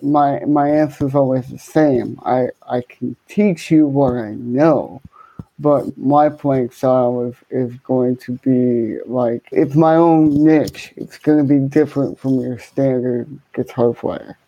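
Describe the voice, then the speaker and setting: A man with a speech impairment that slurs his words, answering interview questions conversationally as a guest musician, in a deliberate way with repeated words. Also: medium pitch, mellow, highs cut off. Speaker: podcast guest, guitarist and musician.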